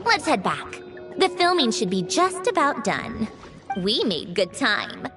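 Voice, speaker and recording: A guarded young woman from an anime game, with a high, extremely expressive voice. She is brisk and wry, keeping a skeptical, business-like edge. Music plays behind her. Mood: businesslike. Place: studio recording with music behind.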